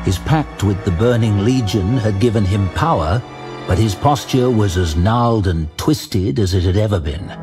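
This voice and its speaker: Male narrator for fantasy audio drama: lowish voice, grave and unhurried, set over clear background music. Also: animated. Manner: measured, grave storytelling.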